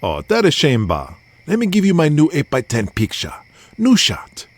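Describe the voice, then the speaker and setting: A man's voice: on the high side, huge pitch swings, normal speed, no room sound, pitched above the performer's own voice. A man voicing a cocky, easygoing Hawaiian star wrestler with a Hawaiian accent, breezy and boastful, in pidgin grammar. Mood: charming.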